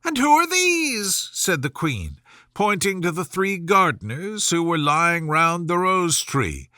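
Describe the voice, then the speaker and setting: A man's voice: a little high, pushed and bright, no echo, clean studio sound. A man narrating a scripted bedtime story as an audiobook reader.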